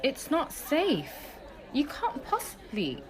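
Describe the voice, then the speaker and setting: A woman's voice: high-pitched, wildly swooping pitch, slow pace, full character voice. An actress playing a guarded, protective young woman in an audio play, speaking in short, evasive phrases. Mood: anxious, firm. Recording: busy crowd murmur behind.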